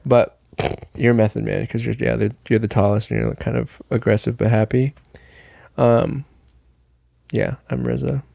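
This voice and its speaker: A man's voice: medium pitch, level tone, normal speed, gravelly, pauses often, mellow, no echo. A young man co-hosting a podcast, joking and swearing in playful banter. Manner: joking, profane, playful rhymes. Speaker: podcast co-host. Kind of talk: casual banter.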